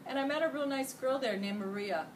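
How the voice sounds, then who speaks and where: A woman's voice: high voice, a little fast, pitched above the performer's own voice, natural voice. A woman voicing a jolly male night janitor from a children's storybook, enthusiastic, colloquial and chatty. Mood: happy, smitten. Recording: noisy room, distant microphone.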